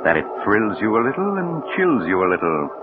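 An actor playing a sinister yet genial storyteller, narrating a 1940s radio mystery in an ominous, deliberate, teasing way. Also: male voice, lowish voice, very animated, narrow, tinny audio, heavy background noise. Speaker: host and narrator of a mystery series. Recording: 1940s radio recording, loud music behind.

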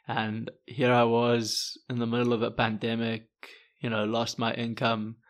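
A man's voice: medium pitch, completely flat delivery, unhurried. A man, a podcast co-host and adventure guide, talking in an earnest, reflective way with many fillers. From South Africa. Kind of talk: casual podcast talk.

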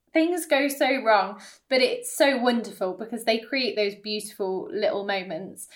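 Female interviewer, medium pitch, casual and chatty, full of encouragement. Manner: effusive, encouraging. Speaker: podcast host, interviewer.

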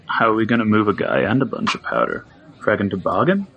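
A man's voice: fairly low pitch, big pitch swings, quick pace, gravelly, husky, muffled recording, exaggerated voice. A man playing a smartass mercenary gun expert who jokes in an exaggerated way in an audio drama. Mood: sarcastic. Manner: jokey, exaggerated.

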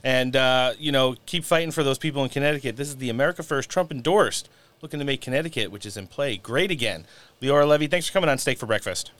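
Fast-talking, smooth-voiced male podcast host. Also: mid-range voice, a bit bright, no echo. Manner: loose, filler-heavy with "you know". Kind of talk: casual political talk show.

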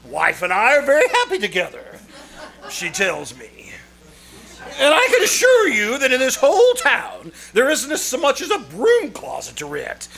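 A man acting a fussy, excitable, chuckling real estate agent in an old-time radio comedy, flustered and theatrical as he repeats himself. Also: very high voice, normal speed, creaky, full character voice. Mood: glib, chatty. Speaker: actor in a radio troupe.

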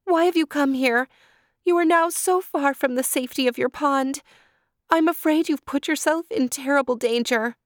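A gentle, worried female deer from a storybook, put on by a woman reading aloud. Her pitch sits well above the reader's own voice and she pleads anxiously. The recording is dry and close. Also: normal speed, dry recording, close to an everyday voice.

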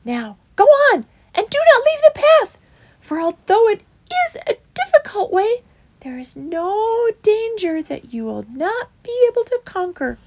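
Woman as an urgent, very high squeaky mouse. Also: sing-song, unhurried, darkish tone, full character voice. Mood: urgent, encouraging.

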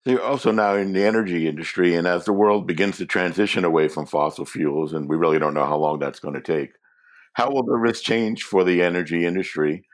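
A man interviewing a guest, reading prepared questions in a deliberate, formal way. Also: sits low.

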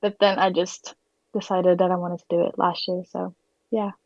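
A woman's voice: fairly low pitch, level tone, moderate pace, creaky, no echo, muffled recording. A teenage woman from Sweden with a Swedish accent, chatting casually and hesitantly as a podcast guest. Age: teens. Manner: hesitant, frequent "like". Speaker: podcast guest, exchange student. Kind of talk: casual chat.

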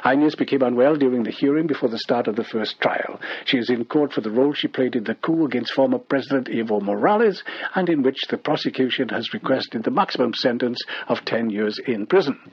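A man's voice: mid-range voice, brisk, husky. A man reading a scripted news bulletin as a radio newsreader, over dull shortwave radio.

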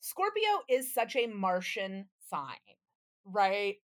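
A woman's voice: mid-range voice, very animated, takes it slowly. A woman co-hosting a podcast, bantering casually in an emphatic, candid way and swearing freely. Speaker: podcast co-host.

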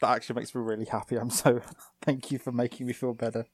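A man co-hosting a casual podcast from home, rambling and laughing at his own slips, hoarse from losing his voice. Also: mid-range voice, little pitch movement, fast talker, dry recording. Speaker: podcast co-host. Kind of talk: casual chat. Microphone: desk microphone over an online call.

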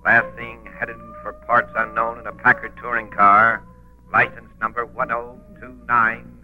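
An actor playing an impersonal police radio dispatcher in a 1940s radio drama. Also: male voice, mid-range voice, little pitch movement, unhurried, faint music behind, natural voice. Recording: muffled lo-fi 1940s radio recording.

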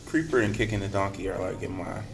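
A man's voice: fast, vocal fry. A man tasting beers in a casual chat, laid-back and using few words. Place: brewery taproom. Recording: distant from mic, faint rumble.

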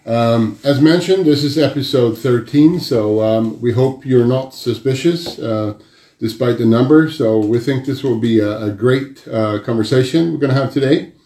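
A man hosting a casual interview chat, speaking haltingly with many fillers and restarts. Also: mid-range voice, mellow, reverberant. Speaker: podcast host.

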